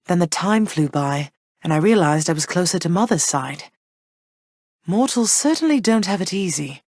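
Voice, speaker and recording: A gentle goddess queen. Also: on the low side, expressive, no room sound, clean studio sound. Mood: tender. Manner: soft-spoken, measured, slightly formal. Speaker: voice actress for a video game character. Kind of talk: recorded game voice lines.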